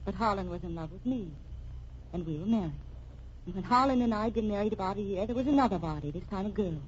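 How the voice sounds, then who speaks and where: A woman's voice: medium pitch, fast talker, natural voice. A woman playing a cool, accusatory wife in a vintage radio mystery drama. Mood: cool, insistent. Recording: dull, noisy old radio recording.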